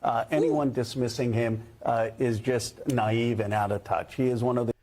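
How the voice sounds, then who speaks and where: A man's voice: medium pitch, steady tone, moderate pace, darkish tone. A man giving political commentary on a news panel, hesitant with frequent fillers.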